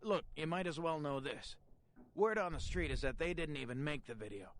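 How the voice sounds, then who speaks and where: A man's voice: on the high side, expressive, a little fast, natural voice. Man playing a sleazy streetwise shop hustler, noisy background. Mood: cagey, uneasy. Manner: slangy, streetwise, brash. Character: sleazy, cagey male porn shop proprietor. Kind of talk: video game dialogue.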